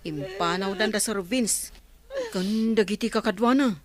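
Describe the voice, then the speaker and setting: A woman's voice: medium pitch, measured pace, lightly acted. A woman playing a loyal, deferential servant who attends her lady in a radio drama. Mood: reassuring. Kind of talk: radio comedy-drama acting.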